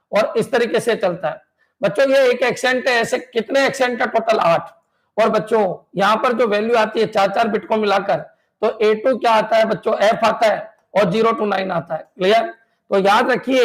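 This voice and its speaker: A man with a strikingly high-pitched voice lectures exam students like a coaching-class teacher. He drills each point by repeating it and keeps checking in with his pupils. He pushes hard on what will come up in the exam. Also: rough recording. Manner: repetitive, drilling, quizzes students with "clear?" and "बच्चों"; emphatic, pushes exam tips and motivation. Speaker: computer science exam coach.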